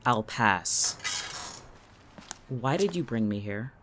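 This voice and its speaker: Audio drama role for an actor: sardonic, calculating town local, sharp-tongued and irritable, animated in pitch. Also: very slow, slight background noise. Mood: irritated, sarcastic. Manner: biting, sarcastic, dry-witted.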